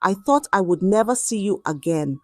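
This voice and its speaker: A woman's voice: mid-range voice, expressive, moderate pace, mellow, no room sound, clean studio sound, close to an everyday voice. A woman voicing a frightened, grieving young female student in a story, with a pleading, trembling delivery. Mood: tearful relief. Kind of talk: dramatic storybook acting.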